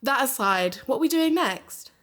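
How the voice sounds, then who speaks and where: A woman's voice: high voice, animated, normal speed, a bit bright. A woman playing a curious, upbeat amateur sleuth and podcaster in an audio drama, speaking in a friendly, informal way. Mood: light, playful. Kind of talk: conversational audio drama acting.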